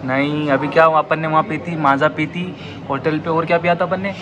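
A man's voice: a little high, steady tone, fairly quick, a crowd in the background, poor audio. A young man chatting casually and teasingly with friends at a restaurant table, recorded on a phone from across the table. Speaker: travel companion. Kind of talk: casual chat. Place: indoor roadside restaurant.

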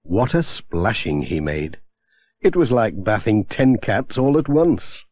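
A man narrating a children's storybook in a gentle, expressive way on a close studio mic. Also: lowish voice, takes it slowly, dry recording. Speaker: audiobook narrator.

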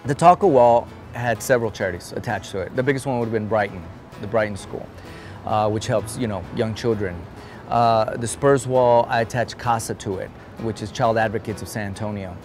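A man's voice: on the low side, a little fast, a little mellow, soft background music. A man in his forties, originally from Guatemala, talking about his life in an outdoor interview, in an earnest, conversational way. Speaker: interviewee, artist. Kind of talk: casual interview talk. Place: outdoor patio in daylight.

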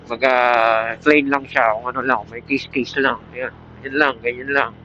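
A Filipino man gives a casual gear review in the informal, repetitive way of a vlogger selling a product. His mid-pitched voice comes through a muffled helmet intercom mic. Light road and vehicle noise runs behind him as he rides outdoors. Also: little pitch movement, moderate pace, sharp tone. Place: outdoors, riding a motorcycle. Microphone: Bluetooth helmet headset mic.

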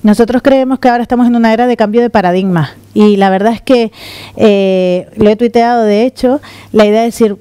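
Middle-aged woman from the Canaries, conference speaker, lively and gesturing, warm smooth voice, dry sound. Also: fairly high pitch, no echo. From Canary Islands. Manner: lively, gesturing while walking on stage. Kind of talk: conference talk. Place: conference room with audience. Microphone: handheld microphone.

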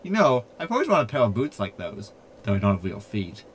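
A man's voice: fairly low pitch, wildly swooping pitch, brisk, a little hiss or hum. A man playing a cheeky, insulting, rebellious hologram in a sci-fi comedy audio drama, exclamatory and mocking.

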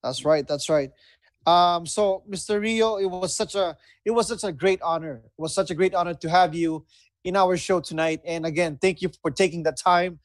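A man's voice: high-pitched, moderate pace, dry recording. A man with a Filipino accent hosting a casual talk show, switching between English and Tagalog. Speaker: show host. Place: indoor room, video call.

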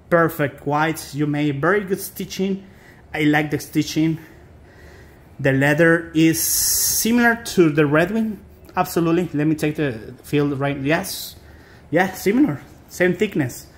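Higher-pitched Mexican American man, casual reviewer. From South Texas. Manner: halting, informal, addresses viewers as "guys". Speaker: boot reviewer.

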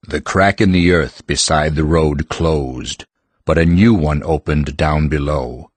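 A solemn, ancient stone creature from a fantasy audiobook. His voice is very deep and very slow, with formal, teacherly phrasing and a dry, close sound. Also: no room sound, exaggerated voice. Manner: formal, pedantic, measured wording. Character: ancient living mountain heart, solemn male stone teacher.

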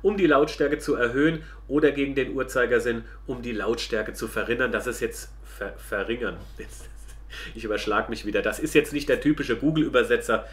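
Male product reviewer, mid-pitched voice, colloquial and chatty, walking viewers through a demonstration. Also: normal speed.

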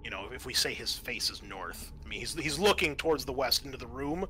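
A man's voice: mid-range voice, expressive, a little fast, pushed and bright, a little hiss or hum. A man narrating a tabletop roleplaying game as game master, describing scenes in an informal, improvised, hesitant way.

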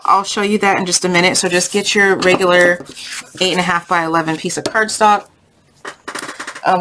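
Congested, lower-pitched woman crafter. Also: pushed and bright, a little hiss or hum. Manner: chatty, informal, thinking aloud. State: congested from a sinus infection. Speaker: craft tutorial host. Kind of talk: casual hands-on craft tutorial. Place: indoors at a craft table.

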